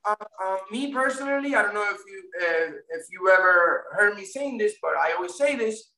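A man with a background talks in a high, bright voice, restarting sentences as he goes. He sits some way from the mic in a room with a strong echo. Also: expressive, a little fast. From Puerto Rico, raised in Florida. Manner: rambling, repeats and restarts often. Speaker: guest, First Amendment auditor. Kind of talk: casual interview chat. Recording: echoey room, distant mic.

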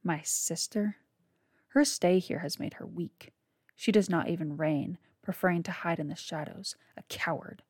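A woman's voice: on the low side, a little slow, a little mellow, husky, no echo, clean studio sound. A woman voicing a cruel, arrogant young male vampire who taunts his father. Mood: mocking. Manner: taunting, sneering.

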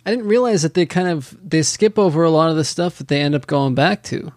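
A man's voice: on the high side, expressive, quick pace, darkish tone, dry recording, clean studio sound. A man co-hosting a casual watch-along podcast, easygoing and a bit hesitant. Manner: easygoing, hesitant, laid-back. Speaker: podcast co-host.

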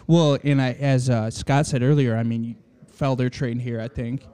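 A man in his thirties from Michigan, hosting a casual podcast interview in a relaxed, easygoing way. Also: medium pitch, normal speed, clear, resonant tone. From Michigan, USA. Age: 30s. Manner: easygoing, laughs often, says "man" a lot. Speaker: podcast host.

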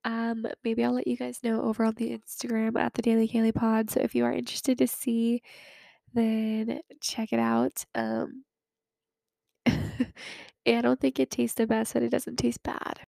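A woman's voice: on the high side, unhurried, creaky, dark tone, no room sound, clean studio sound. A young woman in her twenties hosting a casual chat podcast, sounding nasal as she gets over a cold, chatty and full of fillers. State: sick, recovering from a cold. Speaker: podcast host.

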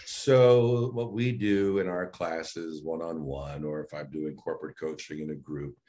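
A man who works as a voice and speech coach, explaining his work in an interview with animated, demonstrative emphasis. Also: very deep voice, measured pace.

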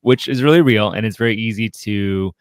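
A man co-hosting a podcast in casual, reflective discussion, speaking haltingly and often repeating words as he restarts. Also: on the low side, smooth, no echo, studio quality. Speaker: podcast co-host.

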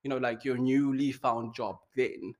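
A man hosting a casual interview, upbeat and chatty, firing off quick questions. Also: medium pitch, flat delivery. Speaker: podcast host. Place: China.

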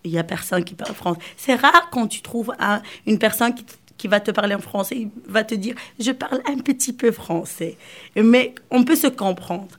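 A woman from Morocco, whose first language is Arabic, answers interview questions in a halting, friendly way. She repeats words and restarts her phrases, breaking into laughs often. Her voice sits at an ordinary middle pitch. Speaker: interview guest. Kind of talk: casual interview chat.

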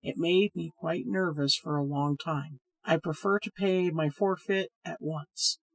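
Storybook role: grave, uneasy male storyteller, read by a woman, husky voice, measured telling. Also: low voice, steady tone, no room sound, low-quality recording. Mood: earnest. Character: sad, hesitant man telling a ghost story.

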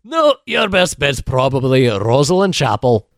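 Lively, crafty Scottish monk. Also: male voice, mid-range voice, unhurried, gravelly, no room sound, clean studio sound. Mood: animated storytelling. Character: gruff, crafty Scottish chapel keeper monk, mocking and boastful. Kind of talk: 1940s-style radio play acting.

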